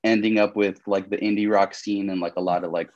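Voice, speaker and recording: A man's voice: on the low side, a little fast, dry recording, highs cut off. A young man from Colombia, a podcast guest talking casually, with lots of "like" and "you know" fillers. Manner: relaxed, rambling, many fillers like "like" and "you know". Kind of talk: casual conversational interview.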